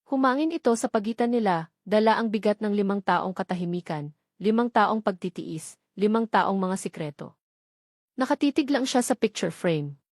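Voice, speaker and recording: A Filipino woman narrates a romantic drama in the manner of an audiobook, reading scripted prose. Her pitch sits in the middle for a woman, and the recording is dry and close, with very little room sound. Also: lively intonation, normal speed, a little mellow, no room sound.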